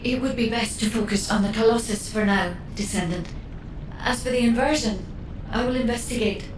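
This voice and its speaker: A woman voicing a solemn, thoughtful otherworldly guide spirit in a video game, measured, earnest and formal. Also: natural voice. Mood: troubled, pondering. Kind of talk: video game cutscene acting. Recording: roomy reverb, distant, background rumble.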